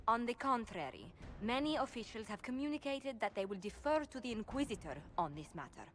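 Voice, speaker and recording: An actress playing a formal advisor in a video game, presenting cases in a measured, dry way. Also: female voice, on the high side, lively intonation, fairly bright tone, a little hiss or hum.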